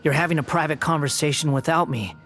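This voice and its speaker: A man's voice: fairly high pitch, quick pace, over music, close to an everyday voice. A man voicing a sardonic young male puppet held as a prisoner in a game cutscene; his speech is dry, mocking and aloof.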